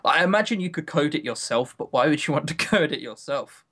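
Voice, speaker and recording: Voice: mid-range voice, animated, a little fast, fairly bright tone. Podcaster, wry and sarcastic. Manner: wry, sarcastic, swears casually. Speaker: podcast co-host. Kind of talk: casual podcast chat. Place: remote voice call.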